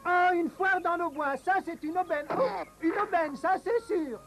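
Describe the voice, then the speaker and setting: A small, simple-hearted cartoon dwarf. The voice is high and naive, with an exclamatory, eager delivery and faint music underneath. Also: measured pace. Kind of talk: French-language cartoon dub acting.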